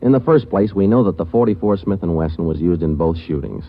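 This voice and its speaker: Terse male police detective, very low, deadpan and businesslike, vintage radio drama with narrow muffled sound. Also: fast. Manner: clipped, deadpan. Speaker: actor playing lead detective and narrator. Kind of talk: 1940s radio crime drama acting. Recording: muffled 1940s radio recording.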